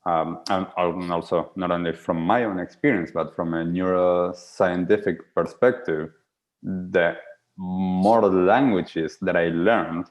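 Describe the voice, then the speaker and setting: A man's voice: sits low, steady tone, takes it slowly, highs cut off. A man from Argentina, a native Spanish speaker, hosting a podcast and musing reflectively with many fillers. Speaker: podcast host. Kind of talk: conversational podcast interview.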